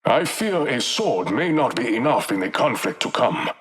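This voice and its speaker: An actor voicing a proud warrior king in a video game, with an African accent and a measured, dignified, formal manner. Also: medium pitch, very animated, fairly bright tone, breathy edge. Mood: measured, regal.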